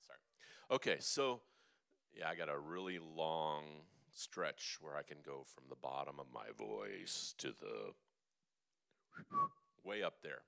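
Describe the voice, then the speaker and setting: A man's voice: very deep voice, animated, unhurried, gravelly, fairly bright tone. A man teaching a vocal seminar, chatty and jokey, with playful asides to the room. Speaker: vocal coach.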